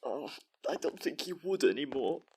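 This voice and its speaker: An actress playing an anxious, emotional stranded time-traveller with a low, husky voice in audio drama dialogue. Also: female voice, big pitch swings, normal speed, warm tone, exaggerated voice. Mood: shaken.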